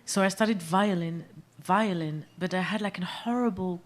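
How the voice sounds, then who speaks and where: A woman's voice: fairly low pitch, normal speed. Woman, musician guest, hesitant, many fillers, live venue stage. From Algeria, raised in France. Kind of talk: live on-stage interview, casual chat.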